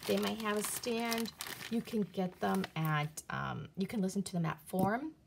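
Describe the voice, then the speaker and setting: A woman casually showing her CDs and reading their back covers aloud, halting often with fillers and restarts, recorded on a phone camera above a table indoors. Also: mid-range voice, vocal fry, slight background noise. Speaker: video creator reviewing CDs. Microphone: phone camera filming her hands on a table from above.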